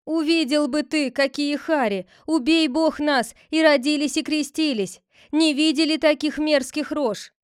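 A woman voicing frightened village watchmen who protest excitedly. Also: sits high, a little slow, dry recording, clean studio sound, voice pushed up. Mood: excited complaining.

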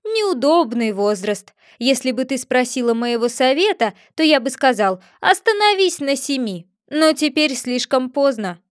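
A woman's voice: sits high, big pitch swings, no echo, clean studio sound. A woman voicing a pompous, touchy male egg figure who lectures and takes offense easily. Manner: haughty, lecturing, quick to take offense.

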